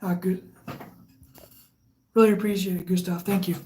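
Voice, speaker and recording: A woman's voice: on the low side, slight background noise. Woman with a Michigan accent, echoey room. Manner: relaxed and halting, with frequent fillers and restarts. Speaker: live stream host. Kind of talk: casual live chat, reading viewer comments.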